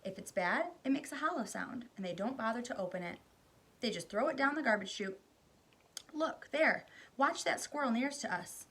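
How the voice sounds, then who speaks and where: A woman voicing an eccentric, excited man who owns a candy factory, calling out in a high, loud voice. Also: normal speed, slightly rough, full character voice. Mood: gleeful.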